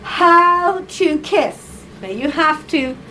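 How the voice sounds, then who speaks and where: A woman with a fairly high voice reads slowly and deliberately at a live event, stopping to coax and tease her volunteers. Her tone is playful and often breaks into laughter. The recording is noisy, with room ambience underneath. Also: animated, bright, forceful tone. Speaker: reader at a writers' salon. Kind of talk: reading aloud and directing volunteers.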